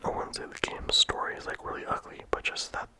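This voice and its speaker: This is a whispered ASMR game review, in a steady voice with hardly any sound behind it. The reviewer rambles casually and leans on "like" between thoughts. The breathy hush never lifts into full voice. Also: a little fast. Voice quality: whispered throughout. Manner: casual rambling with many "like" fillers.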